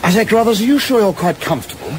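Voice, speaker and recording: A brisk, hearty British yacht skipper acted by a man in a radio drama. His voice sits high for a man and rises and falls freely as he talks in chummy, practical, old-fashioned phrases. Noticeable ambient noise runs behind him. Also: lively intonation. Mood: breezy, busy. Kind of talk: radio play acting.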